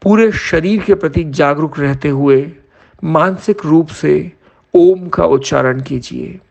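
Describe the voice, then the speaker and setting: A man leading a guided yoga nidra meditation as an instructor, giving measured instructions in short phrases with pauses. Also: a little high, creaky, a little mellow, muffled recording.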